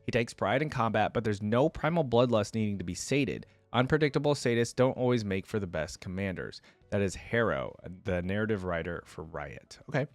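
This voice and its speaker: A man with a fairly low voice hosts a reaction video. His pitch moves around a lot as he chats informally and drops in short interjections, and the sound is dry and close. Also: animated, fairly quick, few pauses, dry recording. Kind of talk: casual reaction commentary.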